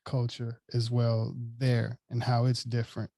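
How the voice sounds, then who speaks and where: A man's voice: mid-range voice, completely flat delivery, measured pace, warm tone, no room sound. Easygoing male podcast host, dry sound. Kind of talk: casual podcast chat.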